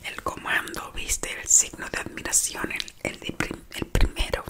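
A woman whispering close to the mic on a playful ASMR live stream, greeting chat viewers affectionately and softly repeating words. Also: moderate pace. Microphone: close microphone.

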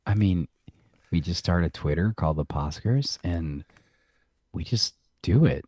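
Deep-voiced playful man co-host. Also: lively intonation, unhurried, darkish tone, husky, dry recording. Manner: playful, laughs often, jumps up in pitch for emphasis. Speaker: podcast co-host. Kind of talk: casual chat recapping a TV episode.

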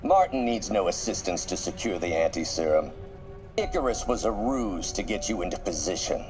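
A man voicing a calm, calculating middle-aged mad scientist villain, formal and menacing, in a video game. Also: low-pitched, moderate pace, over music. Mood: smug menace.

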